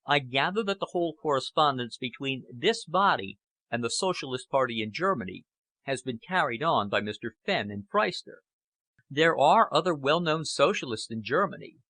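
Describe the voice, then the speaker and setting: A man voicing an earnest, cautious, aristocratic gentleman in an audiobook, speaking formally and reasonably. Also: mid-range voice, sing-song, normal speed, no echo. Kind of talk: audiobook character acting.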